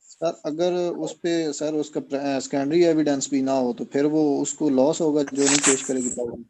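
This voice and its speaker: A man's voice: a little high, steady tone, moderate pace, darkish tone, a little hiss or hum, rough recording. A man, a law student asking questions deferentially in class.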